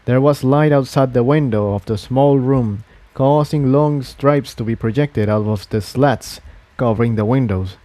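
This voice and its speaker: A man's voice: mid-range voice, measured pace, warm tone, no room sound. A man reading scripted fiction aloud as its narrator.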